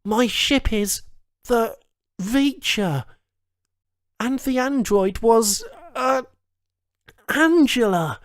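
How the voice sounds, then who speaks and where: A man playing a disoriented, desperate ex-military escapee in a science fiction audiobook, talking to himself in strained, halting bursts. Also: extremely high pitch, lively intonation, no echo, studio quality, pitched above the performer's own voice, heavily acted. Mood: relieved, halting. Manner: strained, halting, urgent self-talk.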